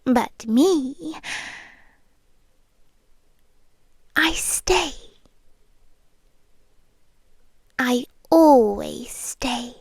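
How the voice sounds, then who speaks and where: Casting: woman to play possessive British vampire girlfriend for ASMR roleplay. High, hushed, breathy voice, very slow delivery, lovesick and ancient. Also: expressive, no echo, clean studio sound, exaggerated voice. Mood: tender, obsessive. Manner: hushed, breathy, slow and lingering, often whispering. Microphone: close microphone, dry.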